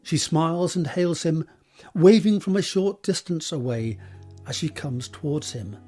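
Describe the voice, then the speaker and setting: Husky high-pitched male narrator. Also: dark tone, soft background music. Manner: measured, descriptive reading. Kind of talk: scripted scene narration.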